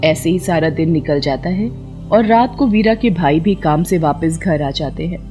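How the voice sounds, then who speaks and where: A woman's voice: sits low, expressive, normal speed, dark tone, soft background music. A woman storyteller reading scripted folktale narration.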